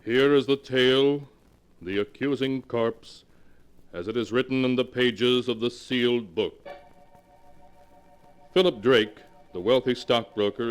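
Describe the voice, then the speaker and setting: An actor playing a mysterious keeper of a book of tales, narrating 1940s radio horror in a theatrical, portentous manner. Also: male voice, medium pitch, measured pace, smooth, lightly acted. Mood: grave storytelling. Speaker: narrator and host. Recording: vintage 1940s radio broadcast. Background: music.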